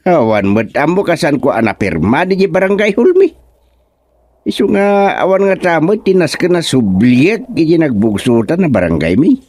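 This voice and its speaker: A man's voice: on the high side, sing-song, normal speed, darkish tone, no room sound. An actor playing a stubborn, bewildered former village chairman in a comedic radio drama, muttering and exclaiming to himself. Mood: indignant, confused.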